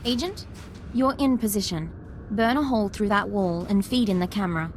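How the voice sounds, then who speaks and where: An actress playing a dutiful, earnest young military ensign in a video game, speaking directly. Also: female voice, medium pitch, moderate pace, a little hiss or hum, close to an everyday voice. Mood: concerned, businesslike.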